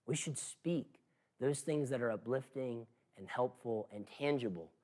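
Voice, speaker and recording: A man's voice: mid-range voice, unhurried. A man preaching an expository sermon in an earnest, exhorting manner, emphatic and given to rhetorical questions. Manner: emphatic, swings from hushed to loud.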